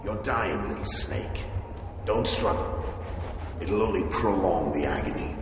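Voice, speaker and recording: An actor playing a venomous, cruel Sith ghost in a video game, menacing and taunting, with a ghostly reverb. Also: male voice, low-pitched, animated, a little slow, background noise. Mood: cruel goading. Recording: cavernous reverb, loud music behind.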